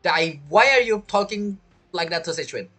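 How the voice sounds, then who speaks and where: Casting: casual game streamer, bright mid-pitched voice, animated intonation, halting muttered commentary. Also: faint music behind. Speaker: gaming streamer.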